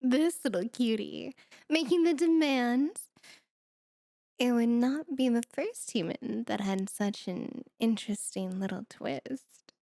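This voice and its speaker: A woman's voice: on the high side, slow, mellow, no echo. A woman voicing a playful, doting, mischievous demon who coos and teases in a flirty ASMR roleplay. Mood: intrigued, teasing. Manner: cooing, teasing, mock-sweet delivery.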